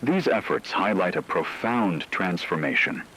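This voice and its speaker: A man delivering scripted news narration as a radio co-anchor in a formal, measured way. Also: deep voice, sing-song, fairly bright tone, breathy edge, low-quality recording.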